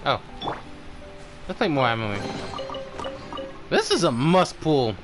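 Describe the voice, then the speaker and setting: A man streaming a game, giving casual live commentary in a halting, muttering way as he thinks aloud. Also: on the high side, wildly swooping pitch. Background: game music.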